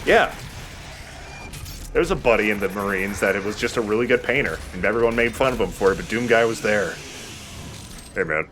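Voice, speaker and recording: A man's voice: lowish voice, brisk, pauses often, a bit bright, faint music behind. A man giving casual let's play commentary as the player, informal, sweary and self-deprecating.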